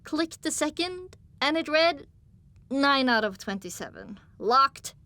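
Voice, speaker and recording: Casting: female audiobook narrator, lightly raised pitch, telling a story dramatically in first person. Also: expressive, takes it slowly, sharp tone, no room sound.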